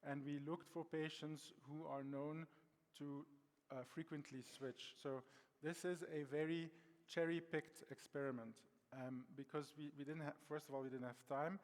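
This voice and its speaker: A man's voice: on the high side, little pitch movement, normal speed. Give me a man with a Belgian accent, hesitant presenter, voice ringing in a reverberant conference hall. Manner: hesitant, many ums and restarts.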